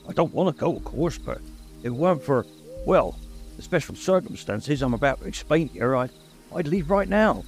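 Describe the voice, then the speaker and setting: A man voicing a huge, gruff gamekeeper who talks in rough dialect, halting and sniffling, raised above his own pitch.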